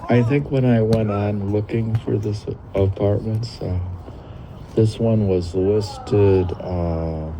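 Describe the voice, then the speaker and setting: Older guy in his 60s, slow gravelly talker, reads stuff out and rambles to himself, noisy recording. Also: low-pitched. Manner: musing, meandering, talks to himself. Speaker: podcast host. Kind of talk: reading a classic text aloud with rambling personal commentary.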